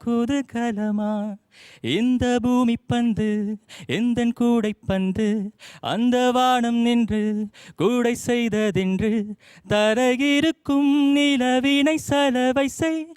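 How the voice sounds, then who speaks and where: Female singing contestant, soft dark timbre, very slow sustained phrases in a film song. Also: a little high, steady tone, clean tone, dry recording, clean studio sound. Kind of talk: audition singing of Tamil film songs.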